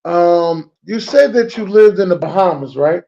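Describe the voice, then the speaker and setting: A man with an American accent and a fairly high voice chats as an interviewer. His delivery is laid-back and slangy, with repeated phrases and plenty of gravel. The audio is dull and lo-fi. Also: expressive, moderate pace, mellow. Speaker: podcast host interviewing a guest. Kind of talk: casual interview chat.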